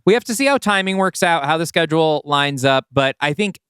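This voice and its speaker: A man with a fairly high voice speaks as a podcast co-host in a casual, chatty style. His tone is smooth and clear with very lively pitch movement, recorded without any room sound. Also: brisk, no echo, clean studio sound.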